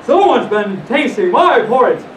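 A woman's voice: on the high side, very animated, moderate pace. A woman voicing a great big indignant father bear from a storybook, declaiming emphatically. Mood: indignant, emphatic. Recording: echoey room, distant mic, noisy.

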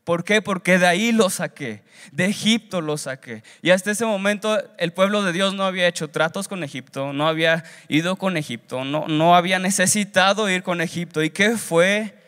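A man's voice: high-pitched, normal speed, hardly any pauses, a bit bright. A young man preaching a sermon in an earnest, instructive way, repeating phrases for emphasis. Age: young adult. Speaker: seminary student preacher. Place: large church auditorium stage. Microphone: handheld wired microphone. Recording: reverberant hall through PA.

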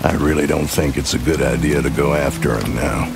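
A man's voice: extremely low pitch, fairly even intonation, brisk, creaky, noisy background. A man playing a fatherly older lawman in a video game, cautious and grave. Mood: concerned.

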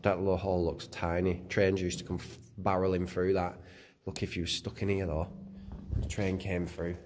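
A man's voice: sits low, level tone, moderate pace. A young man with a head cold, chatting and pointing things out while exploring a railway tunnel. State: bunged up with a cold. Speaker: urban explorer. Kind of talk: casual chat while exploring. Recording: loud tunnel noise.

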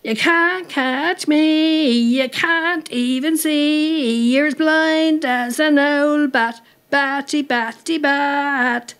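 A woman's voice: sits high, extremely slow, fairly bright tone, voice pushed up, full character voice. A woman voicing cheeky young street boys in a story, taunting in a jeering sing-song. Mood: mocking, gleeful.

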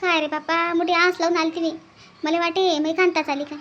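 Someone doing a sad old village mom for a cartoon, really high voice, vidarbha marathi accent, pleading and repeating herself. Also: level tone, fairly quick, a bit bright, lo-fi sound, slight background noise. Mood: sorrowful longing. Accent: rural Vidarbha Marathi. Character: grieving old village mother longing for her lost daughter. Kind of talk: melodramatic cartoon dialogue.

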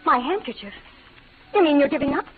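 An actress playing a spirited, romantic young frontier bride in an old radio western, speaking with earnest melodrama. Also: female voice, high-pitched, expressive, brisk. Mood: defiant, excited. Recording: tinny, noisy old radio recording.

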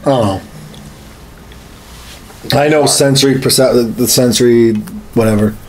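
Male podcast co-host, average pitch, relaxed conversational style, stretches out his anecdotes haltingly. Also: slight background noise, low-quality recording. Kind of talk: casual chat. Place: small home studio room, indoors.

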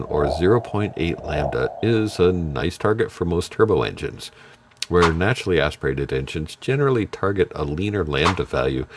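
A middle-aged man giving a scripted technical lesson in a steady, explanatory way with dry jokes. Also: low-pitched, noisy background. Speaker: automotive tuning instructor. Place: dark studio set with purple lights. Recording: music bed underneath.

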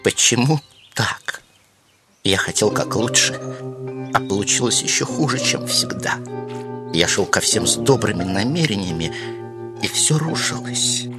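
Melodramatic young cartoon lamb, loud music. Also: male voice, on the low side, lively intonation, a little slow, pauses often. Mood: wounded, dramatic. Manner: theatrical, earnest, self-pitying. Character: sensitive, melodramatic young male lamb poet. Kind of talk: animated cartoon character acting.

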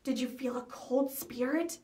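A woman voicing an excited, inquisitive schoolboy obsessed with ghosts, in a storybook reading. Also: high voice, a little slow, reverberant. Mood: eager, curious. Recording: voice picked up from some distance.

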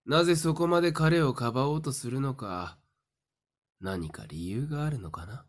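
A man's voice: medium pitch, animated, natural voice. Man acting a smug, theatrical young madman. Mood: smug, probing. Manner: suave, mocking, drawn-out. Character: smug, theatrical young male madman relishing a deadly game. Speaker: voice actor. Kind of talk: anime-style voice drama acting.

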